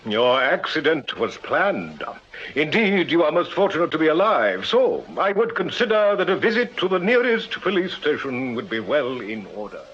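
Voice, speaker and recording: Courtly british victorian showman, flowery and hammy, voice on the high side, bit muffled radio play. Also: very animated, moderate pace, fairly bright tone, slightly rough, full character voice. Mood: grave, dramatic. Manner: flowery, theatrical, mock-gallant. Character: florid, courtly Victorian showman, flattering and dramatic. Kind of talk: period radio drama acting. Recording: muffled radio recording, faint noise.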